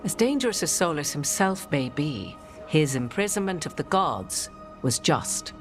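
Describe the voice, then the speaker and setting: An actress playing an arch, enigmatic sorceress in a video game, with haughty, theatrical, archaic phrasing. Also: female voice, low voice, big pitch swings, measured pace, soft background music. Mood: grave, advising.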